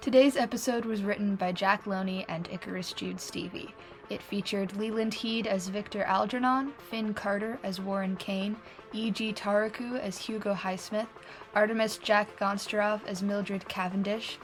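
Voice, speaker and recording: A woman's voice: medium pitch, steady tone, normal speed, low-quality recording. A woman reading scripted podcast credits as an announcer. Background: music.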